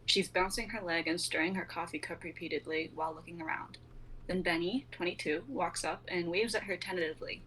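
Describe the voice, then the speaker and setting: A woman's voice: lowish voice, brisk, pushed and bright. A young woman giving scripted narration as the reader of stage directions, on noisy livestream audio. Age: young adult.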